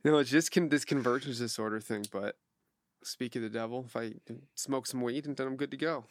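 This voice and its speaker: A young man hosting a podcast, talking in a relaxed, rambling way, swearing casually and laughing often. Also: mid-range voice, fairly quick, slight background noise. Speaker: podcast host, producer.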